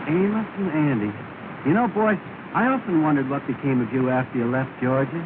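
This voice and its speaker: An actor playing a kindly, courteous gentleman who speaks in a genial way, on a tinny old film soundtrack. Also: medium pitch, fairly quick, background noise, close to an everyday voice. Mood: cheerful. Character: kindly gentleman, former employer's son. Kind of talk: 1930 film acting.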